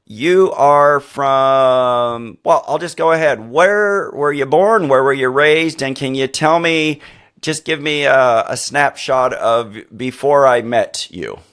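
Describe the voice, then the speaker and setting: A middle-aged man hosting a podcast interview, a former teacher who tells rambling, animated stories full of restarts. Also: mid-range voice, takes it slowly, clear, resonant tone. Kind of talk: conversational interview.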